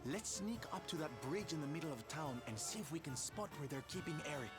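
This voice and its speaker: An actor voicing a flamboyant, warm, theatrical male adventurer in a video game, with a camp, effusive manner. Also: a little high, lively intonation, fast, over loud music, lightly acted. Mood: brisk, rallying. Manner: camp, effusive, calls people darling and sweetie.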